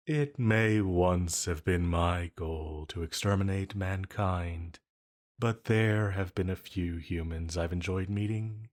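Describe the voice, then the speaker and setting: A man playing an ancient banished villain in a roleplay audio drama, flirty and condescending, with a measured, silky, intimate delivery. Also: low-pitched.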